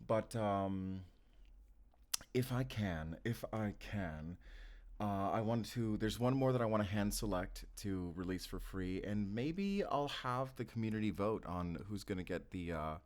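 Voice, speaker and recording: A man's voice: on the low side, lively intonation, measured pace, a little mellow. A man casually addressing his listeners as an audio creator, easygoing and hesitant in his phrasing.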